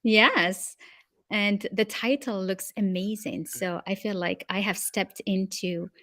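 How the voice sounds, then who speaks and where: A middle-aged woman from Morocco, a guest expert answering interview questions in a measured, explanatory way. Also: mid-range voice, fairly bright tone, dry recording.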